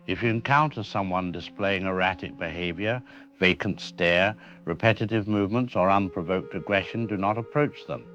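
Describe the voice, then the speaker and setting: A man playing a frank, grave disease-control doctor giving a measured, clinical briefing in an audio drama. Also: sits low, fairly bright tone. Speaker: expert interviewed on radio. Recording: dull lo-fi radio sound, music behind.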